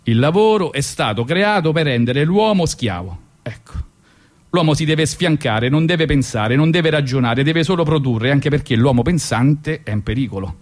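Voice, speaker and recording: A man's voice: fairly high pitch, lively intonation, moderate pace. A man in his forties with a Roman accent telling ironic stories on the radio, colloquial and conversational, with a wry, mock-philosophical manner. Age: 40s. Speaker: radio show host and storyteller. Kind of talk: ironic spoken storytelling, conversational.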